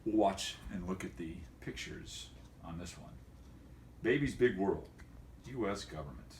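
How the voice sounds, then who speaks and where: A man speaking as a teacher and host of a homemade video for students, in a folksy, wordy, deliberate way. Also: deep voice, animated, darkish tone, reverberant, a little hiss or hum. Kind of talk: casual educational vlog for students, with read-aloud.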